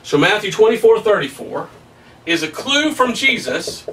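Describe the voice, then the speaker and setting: A man in his thirties preaching a Bible study at a whiteboard, emphatic and excitable, his voice somewhat hoarse. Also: high-pitched, normal speed, distant mic, a little hiss or hum, low-quality recording. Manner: emphatic, rising to excited peaks, laughs at his own points. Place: small room in front of a whiteboard. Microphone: camera at a distance.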